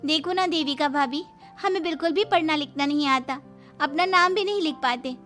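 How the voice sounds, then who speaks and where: A woman's voice: high voice, normal speed, a bit bright, soft background music, pitched above the performer's own voice. A woman voicing an earnest, worried young village sister-in-law in a cartoon story, pleading. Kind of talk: animated moral-story acting.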